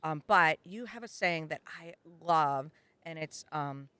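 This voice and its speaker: A woman's voice: low voice, measured pace, pushed and bright, clean tone, no echo. A middle-aged woman hosting a podcast interview, upbeat and enthusiastic, often answering "yeah". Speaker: podcast host. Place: outdoors in a backyard.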